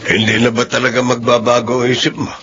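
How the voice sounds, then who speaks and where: A man playing a worried, disapproving older father of a grown son in a radio drama, with a gravelly, husky voice. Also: medium pitch, little pitch movement, quick pace, muffled recording, loud music behind the voice, lightly acted. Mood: resigned, stern.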